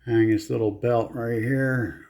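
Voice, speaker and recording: Slow-talking, mellow man muttering through a repair. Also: mid-range voice, steady tone, a little hiss or hum. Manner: muttering, halting, talking to self in short phrases; casually profane. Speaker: hobbyist repairer filming own repair. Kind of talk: casual hands-on repair commentary. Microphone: handheld camera.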